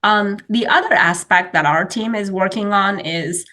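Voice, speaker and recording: Woman presenting in a webinar over a video call, chinese is her first language, bright crisp tone, keeps restarting her sentences. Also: medium pitch, moderate pace. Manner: explanatory, many fillers and restarts. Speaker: webinar speaker, researcher.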